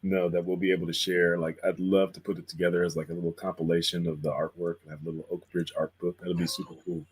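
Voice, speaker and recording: A man's voice: low-pitched, little pitch movement, fast talker, hardly any pauses, slight background noise. A man in casual conversation as a guest artist, telling stories in slangy language and acting out bits of remembered conversations. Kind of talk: casual chat, storytelling about creative work.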